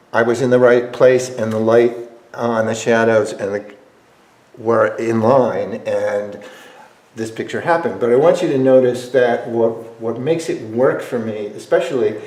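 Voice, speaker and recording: A man's voice: mid-range voice, a little mellow. Reflective male photographer in an echoey room. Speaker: photographer explaining own exhibited work. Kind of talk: explanatory gallery talk about artworks. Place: indoor art gallery.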